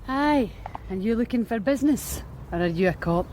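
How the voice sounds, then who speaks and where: An actress playing a blunt, streetwise young street worker with a Scottish accent in a radio drama, wry and matter-of-fact. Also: female voice, fairly high pitch, lively intonation, normal speed, a little mellow, a little hiss or hum, natural voice. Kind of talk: BBC radio drama acting.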